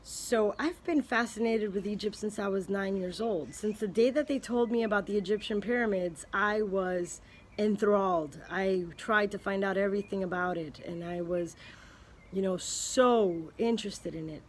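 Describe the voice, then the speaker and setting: A woman vlogger thinking aloud in a casual, earnest way, pausing and restarting as she speculates. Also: mid-range voice, slight background noise. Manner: unhurried, thinking aloud with many pauses and restarts. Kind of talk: casual spoken musing to camera.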